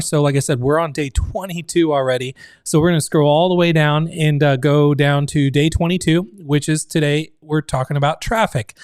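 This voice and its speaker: A man's voice: on the high side, darkish tone, clear, resonant tone, no echo, clean studio sound. A man in his thirties, a community founder, hosting a casual livestream from his home office, talking in a chatty way with many fillers while his voice sounds strained, as if about to give out. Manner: chatty, many fillers, reads out chat comments. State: voice strained, close to losing it.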